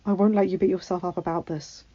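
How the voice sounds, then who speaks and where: A woman's voice: lowish voice, steady tone, quick pace, warm tone, lo-fi sound, lightly acted. A woman voicing a devoted young male hero, an earnest and protective husband, in an emotional story reading. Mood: consoling.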